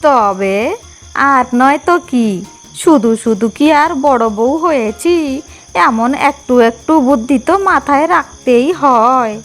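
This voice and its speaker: A woman's voice: high-pitched, a little slow. A woman playing a scheming, bossy elder sister-in-law in a cartoon fairy tale, smug and conspiratorial. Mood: sly, scheming. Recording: music behind the voice.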